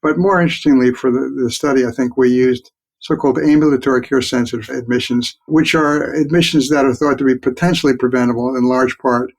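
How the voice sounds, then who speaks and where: An older man, a physician and researcher, explaining his work as an interview guest in a measured way. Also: mid-range voice, fairly even intonation.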